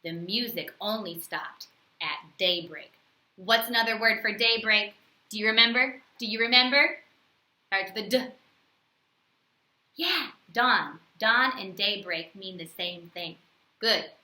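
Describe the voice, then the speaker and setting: A woman reading a bedtime story aloud to young children, expressively, with teacherly questions and pauses. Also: medium pitch, pushed and bright, reverberant.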